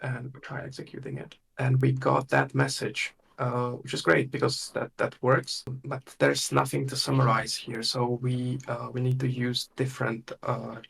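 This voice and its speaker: A man leading a workshop with a live software demonstration, thinking aloud in a halting way and murmuring to himself as he works. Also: mid-range voice, little pitch movement, creaky, husky. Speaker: workshop instructor.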